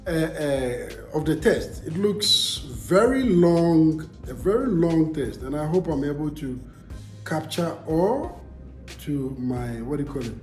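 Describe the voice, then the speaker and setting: A man's voice: on the high side, animated, takes it slowly, darkish tone, reverberant, faint music behind. A young Ghanaian man with a Ghanaian accent, vlogging casually and answering viewer comments in an emphatic way, repeating himself and asking rhetorical questions.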